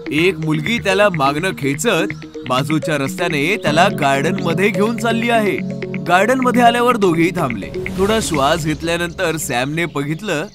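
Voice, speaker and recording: A man reading scripted narration as the storyteller of a horror tale. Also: on the high side.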